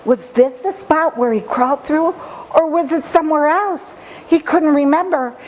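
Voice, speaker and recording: A woman reading a children's story aloud with expressive, dramatic and deliberate phrasing. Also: high-pitched, poor audio.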